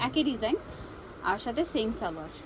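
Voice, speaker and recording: A woman with a Bangladeshi accent presenting products to viewers in a brisk sales showcase, repeating and checking details. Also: a little high, sing-song, background noise. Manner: brisk, repeats prices and asks for confirmation.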